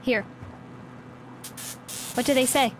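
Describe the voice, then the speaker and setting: High-voiced, worried village worker. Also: female voice, steady tone, slow pace, faint music behind. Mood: matter-of-fact. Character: worried, matter-of-fact village listening-post worker. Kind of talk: audio drama acting.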